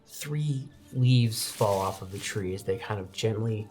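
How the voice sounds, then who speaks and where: Low-voiced woman game master. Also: measured pace, darkish tone, poor audio. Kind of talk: narrating a tabletop roleplaying game.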